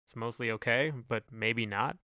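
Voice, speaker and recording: A man's voice: medium pitch, moderate pace, pushed and bright, dry recording, clean studio sound. A man co-hosting a podcast in casual chat, talking in a hesitant, rambling way full of hedges. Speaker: podcast co-host.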